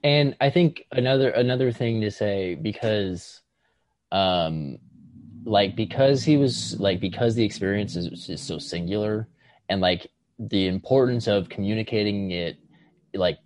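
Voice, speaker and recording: A man with a slightly low voice, recorded dry, talks about writing as a casual podcast co-host. He is tentative and halting, circling an idea before he lands on it. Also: darkish tone, dry recording. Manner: halting, tentative, circling toward his point. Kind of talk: casual literary discussion.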